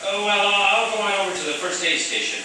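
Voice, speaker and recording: Man playing a shifty, high-pitched, wheedling schemer. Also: lively intonation, fast talker. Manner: blustering, defensive, slangy, stammering. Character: shifty, wheedling tenement husband, a cowardly working-class schemer. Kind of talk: radio theatre acting. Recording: echoey room, distant mic, clear noise.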